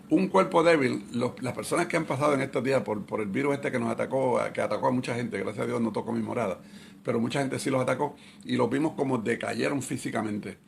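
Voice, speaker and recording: A man's voice: mid-range voice, gravelly, a little hiss or hum. A middle-aged man giving a conversational Bible study sermon, repeating his points and asking rhetorical questions. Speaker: Bible teacher.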